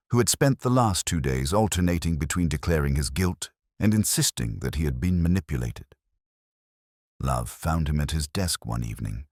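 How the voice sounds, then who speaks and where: A man narrates a scripted mystery in a very deep voice. His pitch moves in an animated way from phrase to phrase, and the sound is dry, with almost no room on it. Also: no room sound. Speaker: audiobook narrator.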